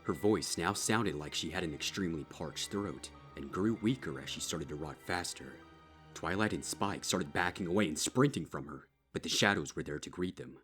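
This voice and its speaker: A man reading a dark horror story as a dramatic scripted narration. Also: sits low, moderate pace. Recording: music behind.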